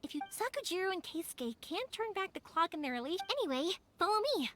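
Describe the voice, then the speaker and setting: A bubbly young fireworks girl from a game, high and bouncy, earnest and giggly. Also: animated, fast, a bit bright, slight background noise. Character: cheerful young woman fireworks maker, warm and lively. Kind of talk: game cutscene voice acting.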